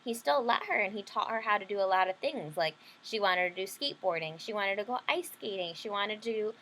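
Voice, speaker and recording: A woman's voice: medium pitch, animated, fairly quick, a bit bright, a little hiss or hum, rough recording. A woman leading an interactive read-aloud lesson for children, explaining simply and often checking in with questions. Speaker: teacher reading a picture book to children.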